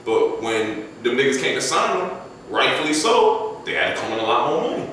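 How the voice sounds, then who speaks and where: Animated man on a roomy distant mic. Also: a little fast. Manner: laid-back, slangy, climbs high and loud when animated. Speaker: rapper guest. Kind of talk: casual podcast chat. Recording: echoey room, distant mic, faint noise.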